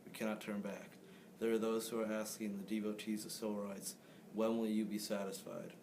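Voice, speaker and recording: A man's voice: fairly low pitch, flat delivery, brisk, darkish tone. A teenage boy, a student, reading a speech aloud. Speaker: student reader. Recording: clear background noise.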